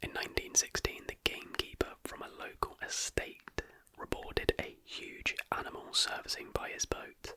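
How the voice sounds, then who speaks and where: ASMR narrator, fully whispered and breathy, crisp bright edge, careful scripted delivery. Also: normal speed, no room sound. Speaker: ASMR host.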